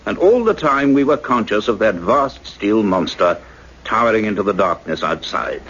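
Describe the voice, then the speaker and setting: A polite, observant scientist in a radio play. His lowish voice is formal and measured, with plenty of rise and fall. The sound is dull, with some noise. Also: expressive, fairly bright tone. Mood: ominous, reflective. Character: courteous visiting scientist and story's narrator, reserved, observant. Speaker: narrator and character. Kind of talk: radio play narration and dialogue. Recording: noisy, dull radio drama recording.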